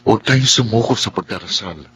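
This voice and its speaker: Actor doing deep voiced filipino husband, radio drama, patient n devout, pleading gently, bit of hum. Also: male voice, brisk, lightly acted. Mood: earnest, hopeful.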